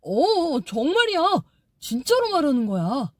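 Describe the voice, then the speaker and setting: A woman voicing a gentle old chimney who pleads softly and thanks warmly in a storybook audiobook. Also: high-pitched, very animated, measured pace, no echo, clean studio sound, pitched above the performer's own voice. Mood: pleading.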